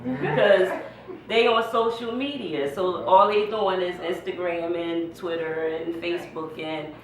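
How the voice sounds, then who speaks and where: Middle-aged American woman interviewer, conversational, very low register, off-mic in a noisy space. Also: unhurried. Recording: echoey room, distant mic, background noise.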